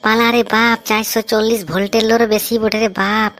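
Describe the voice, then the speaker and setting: A woman's voice: fairly high pitch, little pitch movement, fairly quick, fairly bright tone, close to an everyday voice. A woman voicing a brash, grumbling young cartoon bridegroom, blunt and mocking. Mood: exasperated. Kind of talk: cartoon comedy dialogue.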